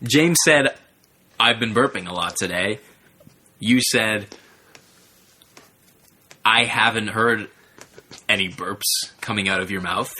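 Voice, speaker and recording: A man co-hosting a comedy podcast, chatting casually in an animated, mock-earnest way. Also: on the low side, slow pace, long pauses. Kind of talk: casual improvised banter.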